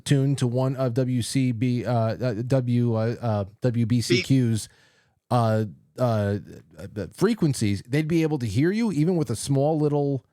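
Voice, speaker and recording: A man hosting a casual interview show, talking in a rambling, halting way. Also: mid-range voice, warm tone, no echo, clean studio sound. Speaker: talk show host.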